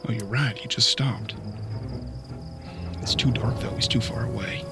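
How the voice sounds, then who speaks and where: An actor playing a young, inquisitive apprentice detective in a western audio drama, with a folksy, questioning manner. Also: male voice, medium pitch, unhurried, loud music behind the voice, natural voice. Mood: puzzled, observant.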